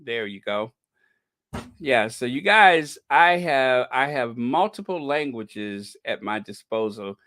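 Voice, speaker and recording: Male livestream host: resonant and slow-paced, joking, mock-indignant, halting casual delivery. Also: mid-range voice, expressive, a bit bright, no echo.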